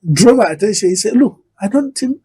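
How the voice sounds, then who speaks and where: A middle-aged man with a Nigerian accent and a husky voice, methodically explaining his points as an expert guest in a broadcast interview. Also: high-pitched, expressive, a little fast, warm tone.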